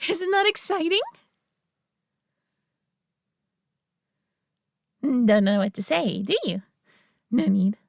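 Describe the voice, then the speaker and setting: A woman voicing a possessive, doting alien who coos over her pet human in an intimate roleplay audio drama, coddling and softly condescending. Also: fairly high pitch, very animated, moderate pace, gravelly, dry recording, clean studio sound. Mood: gleeful, excited.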